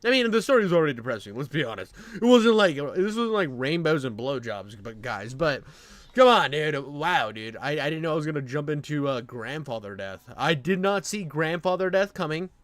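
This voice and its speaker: Higher-pitched man, dry close recording. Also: big pitch swings, brisk, a bit bright, no room sound. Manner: slangy, blunt. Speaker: reaction video host. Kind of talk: casual unscripted commentary.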